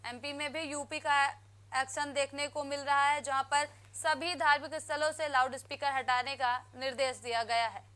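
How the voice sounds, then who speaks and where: A woman reads the news in a formal, even newsreader manner. Her voice sits high and sounds bright and clear. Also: moderate pace. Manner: crisp formal newsreader delivery. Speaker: news anchor. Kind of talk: scripted news reading.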